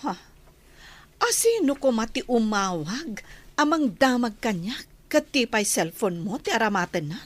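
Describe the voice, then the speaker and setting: High, swooping, imperious lady of the house. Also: very animated, unhurried. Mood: suspicious, demanding. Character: suspicious, demanding lady of the house. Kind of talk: radio drama acting in Ilocano.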